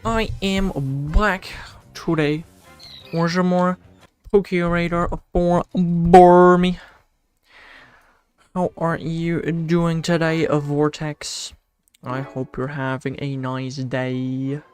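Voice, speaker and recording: A man's voice: fairly high pitch, flat delivery, takes it slowly, clean tone, faint music behind. A young man from the Netherlands with a Dutch accent, chatting casually and slangily with his chat while streaming a game. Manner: laid-back and slangy, talks to himself. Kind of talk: casual livestream chat, answering chat while playing.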